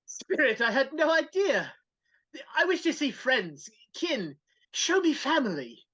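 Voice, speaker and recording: A woman playing a grumpy but softening old male miser in a live dramatic reading over a video call. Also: high voice, sing-song, unhurried, exaggerated voice. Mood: softening, earnest. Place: remote video call at home. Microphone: video call microphone. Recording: slightly dull video-call audio.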